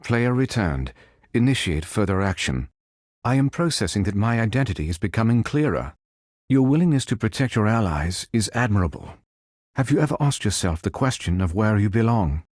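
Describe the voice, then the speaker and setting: A calm android superhero for a video game. The voice is low and lively in pitch, recorded very dry. He speaks with formal, precise, logical phrasing, like a machine of noble purpose. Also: moderate pace, dry recording. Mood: measured, composed. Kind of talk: video game character voice lines.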